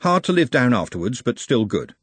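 A man voicing a sharp, practical, exasperated woman in a storybook, speaking in a wry, direct way. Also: medium pitch, big pitch swings, fairly quick, slightly rough, dry recording, pitched above the performer's own voice.

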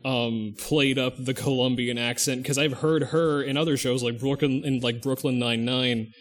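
A man's voice: medium pitch, steady tone, normal speed. A man co-hosting a podcast in casual chat, rambling and often stopping to correct himself mid-sentence. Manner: rambling, thinks aloud, restarts and self-corrects often. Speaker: podcast co-host.

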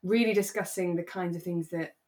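A woman with a voice a little on the low side chats as a podcast co-host. She is casual and conversational, putting her opinions across with emphasis as she discusses a drama. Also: steady tone, a little fast, mellow. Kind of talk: casual chat reviewing a radio drama.

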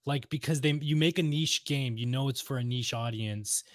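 A man in casual, opinionated conversation as a podcast co-host. His voice sits at a middle pitch and sounds close and dry, with points repeated for emphasis. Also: moderate pace, no echo. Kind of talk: casual chat about video games.

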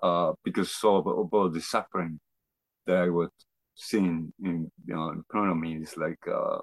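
Middle aged guy from argentina, deep voice, slow to find his words, telling his life story, no room echo. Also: fairly even intonation. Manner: halting, reflective, searching for words. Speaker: interview guest. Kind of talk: personal testimony in conversation.

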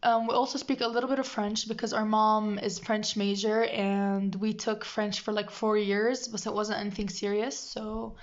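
A woman's voice: medium pitch, level tone, normal speed, few pauses. A teenage girl co-hosting a casual podcast chat, a native Arabic speaker talking in English, rambling and hesitant with lots of ums. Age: teens. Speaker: podcast co-host. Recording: dull lo-fi audio.

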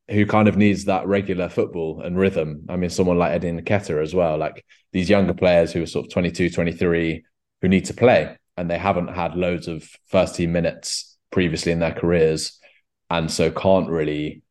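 Very deep-voiced man, rambling chat. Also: darkish tone. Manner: rambling, many restarts and fillers. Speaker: podcast host. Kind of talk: casual football podcast chat.

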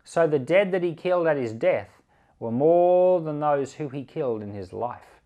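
A man reading scripture aloud for a podcast in a measured, deliberate, expressive storytelling manner. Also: fairly high pitch, narrow, tinny audio. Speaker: pastor and Bible reader.

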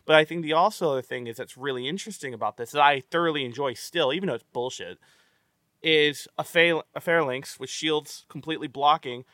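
A man's voice: on the high side, brisk, a bit bright, no room sound. A man hosting a casual movie-review podcast, rambling with many stammered restarts and free swearing. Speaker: podcast host.

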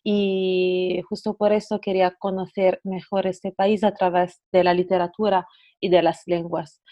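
A young Italian woman with an Italian accent, a podcast guest talking hesitantly with many pauses. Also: lowish voice, flat delivery, mellow. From Italy. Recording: dull lo-fi sound.

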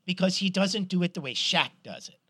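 Middle-aged guy on a sports podcast, a bit high-pitched, tells stories fast and swears. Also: breathy edge, dry recording. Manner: animated storyteller, swears, restarts a lot. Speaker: podcast co-host. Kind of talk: casual sports chat.

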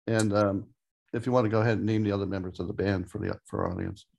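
Guy cohost, lowish gravelly voice, casual talk. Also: steady tone, fast talker, a little mellow. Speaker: co-host, author and publisher. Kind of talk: casual conversation.

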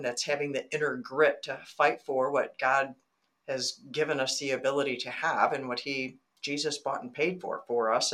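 A woman from Michigan, a guest on a faith podcast, chatting earnestly and reading scripture aloud. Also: rumbling low. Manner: earnest, animated, laughs while telling stories.

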